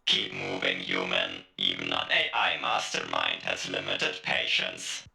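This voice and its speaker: A man voicing a menacing AI mastermind in a video game, slow, taunting and condescending, with a deep gravelly growl. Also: huge pitch swings, pushed and bright, exaggerated voice. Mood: impatient menace. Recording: echoey game audio over music.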